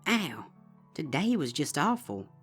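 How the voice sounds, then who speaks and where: A man voicing a frightened, suspicious young filly pony, speaking in a high, shaky, pleading way. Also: big pitch swings, slow pace, fairly bright tone, husky, faint music behind, full character voice. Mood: anxious.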